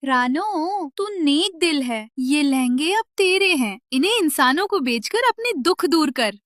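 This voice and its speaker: Magic tree spirit woman performer: benevolent judge, proclaiming manner, high voice, dry cartoon recording. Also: animated, a little slow, a bit bright, dry recording. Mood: kindly, blessing. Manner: solemn, proclaiming. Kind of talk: Hindi cartoon moral-story dialogue.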